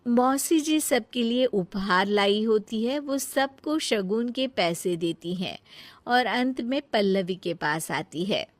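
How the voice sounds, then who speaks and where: A woman narrator tells a cartoon moral tale from a script. Her delivery is slow and measured, and the recording is very dry. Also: mid-range voice, expressive, no room sound.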